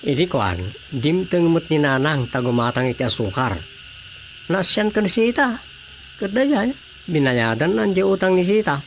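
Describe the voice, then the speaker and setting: Give this to a talkative, thoughtful villager in an Ilocano radio drama. His Filipino voice has an Ilocano accent and sits a little high, with a heavy gravelly creak. A faint layer of background noise sits under the recording. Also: big pitch swings, moderate pace. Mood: gossiping, sympathetic.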